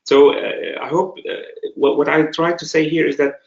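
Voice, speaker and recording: A man, an associate professor, giving a webinar presentation in a halting way, with frequent filler sounds and rhetorical questions. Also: fairly high pitch, little pitch movement, gravelly. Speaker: associate professor and program coordinator. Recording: roomy, dull lo-fi webinar audio.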